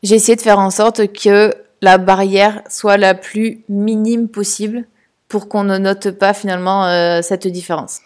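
A woman's voice: mid-range voice, steady tone, unhurried, darkish tone. A woman from France talking casually in a personal podcast monologue, hesitant with many fillers and restarts. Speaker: podcast host.

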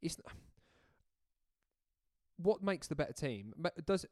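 A man's voice: fairly high pitch, sing-song, slow pace, darkish tone, no room sound, clean studio sound. Blunt young man talking football. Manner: blunt, calls everyone mate. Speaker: podcast co-host. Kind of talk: casual football chat.

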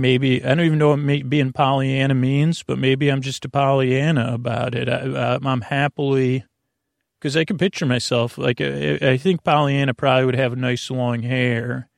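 A man with a creaky voice hosting a bedtime podcast in a meandering, halting, earnest monologue that keeps interrupting itself. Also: mid-range voice, dry recording, studio quality. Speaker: podcast host.

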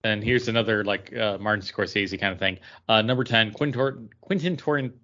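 A man's voice: fairly low pitch, fairly quick, pushed and bright, muffled recording. A man co-hosting a podcast, talking casually with friends. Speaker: podcast co-host. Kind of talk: casual chat, reading out a list.

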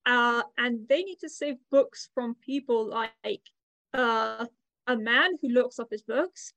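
A woman's voice: high voice, dry recording, poor audio. A woman co-hosting a podcast, chatting casually about books in a rambling way. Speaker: podcast co-host. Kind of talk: casual book chat.

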